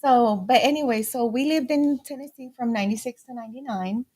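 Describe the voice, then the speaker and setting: Guest, weiblich, 40er Jahre, ricanischer Akzent, offen erzählend, mischt Spanisch ein. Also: female voice, a little high, a little fast, a little mellow, slightly rough. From Puerto Rico. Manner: candid and storytelling, switching into Spanish phrases. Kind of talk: casual interview.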